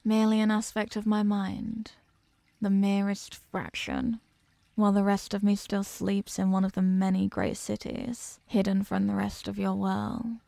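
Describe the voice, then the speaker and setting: A woman playing an ancient captive eldritch goddess in a horror ASMR roleplay, haughty, hungry and menacing, speaking in an imperious, condescending and deliberate way. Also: mid-range voice, monotone, vocal fry, dark tone, dry recording. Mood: ominous, condescending. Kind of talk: slow horror audio roleplay monologue, ASMR.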